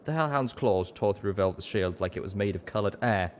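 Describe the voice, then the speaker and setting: A man's voice: on the low side, fairly quick, slight background noise. A man reading a story aloud as scripted, dramatic narration. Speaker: audiobook narrator.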